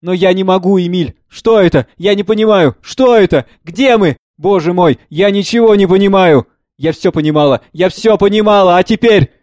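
A man's voice: sits high, normal speed, darkish tone, no room sound, voice pushed up, natural voice. A man voicing an anguished, bewildered mother in a stage drama, pleading and repeating herself frantically. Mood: bewildered, frantic. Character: anguished grieving mother, losing her grip on reality, pleading.

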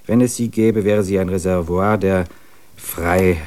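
An actor playing an earnest German man of forty who longs for wilderness, reading out his letters in a measured manner. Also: low voice, fairly even intonation, a little mellow. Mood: earnest longing. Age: 40s. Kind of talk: radio play, letter reading.

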